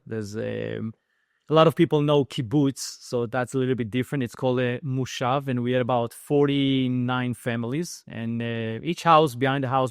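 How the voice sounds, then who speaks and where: A man from Israel with an Israeli accent, speaking as a podcast guest in a thoughtful, unhurried way, often repeating phrases. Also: medium pitch, clean tone, no echo, clean studio sound. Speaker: podcast guest, business founder. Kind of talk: reflective interview talk.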